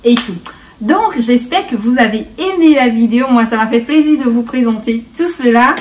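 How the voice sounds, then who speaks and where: A woman's voice: on the high side, expressive, normal speed, distant mic. A woman hosting a casual beauty tutorial in a playful, chatty way, slipping bits of English into her French. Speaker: beauty vlogger, host.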